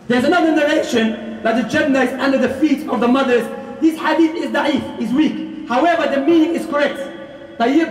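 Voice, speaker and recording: A man in his thirties giving a Friday sermon in a mosque hall, speaking with passion and often rising to a shout. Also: very high voice. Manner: impassioned, often raises voice to a shout. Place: mosque prayer hall with plain walls. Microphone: handheld mic on stand, filmed from across room. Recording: echoey hall, distant mic.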